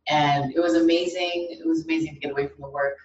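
Muffled and very echoey, this is a woman with a low voice. She gives a casual personal update in a hesitant, halting way, some distance from the mic. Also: fairly even intonation, darkish tone. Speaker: participant in a group call. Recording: muffled, roomy, distant mic with faint noise.

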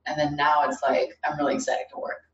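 Muffled and very echoey, this is a woman with a low voice. She gives a casual personal update in a hesitant, halting way, some distance from the mic. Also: completely flat delivery. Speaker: participant in a group call. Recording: muffled, roomy, distant mic with faint noise.

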